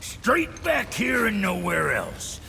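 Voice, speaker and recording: An actor playing a brash, cantankerous dwarf shopkeeper in a video game, gravelly and boastful with folksy delivery. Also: male voice, high voice, big pitch swings, takes it slowly, bright, forceful tone, slight background noise, full character voice. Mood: boastful, instructing. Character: brash, foul-mouthed male dwarf blacksmith shopkeeper. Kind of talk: comic video game character acting.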